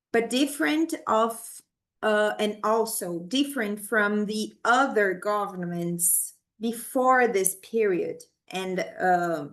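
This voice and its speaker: A Brazilian woman, a native Portuguese speaker with a Brazilian accent, answering questions at an academic forum in halting English with many restarts. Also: medium pitch, fairly bright tone. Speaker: conference presenter.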